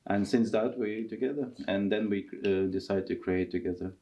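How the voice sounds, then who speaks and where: A man in his thirties with a Russian accent, talking casually in halting English during a seated interview in a costume workshop. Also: lowish voice, dark tone. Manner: halting non-native English with restarts. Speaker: circus performer and tent master.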